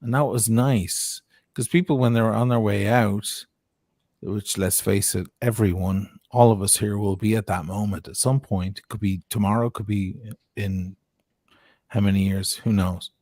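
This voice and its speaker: A man talks as a guest on a livestream, earnest and reflective, letting his thoughts ramble. His voice sits low. The sound is dry and close. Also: fairly even intonation, measured pace, darkish tone, no echo. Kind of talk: casual chat.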